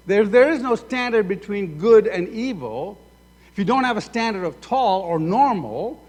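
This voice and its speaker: A man from Sudan preaching a sermon as pastor, acting out both sides of imagined conversations. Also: high voice. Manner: animated, acts out both sides of dialogues. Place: church sanctuary. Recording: echoey hall reverb.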